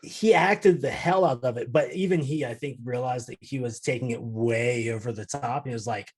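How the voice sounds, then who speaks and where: A man's voice: medium pitch, normal speed, slightly rough. A man on a casual film and game panel, talking in an emphatic, sardonic way with plenty of swearing. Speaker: podcast panelist.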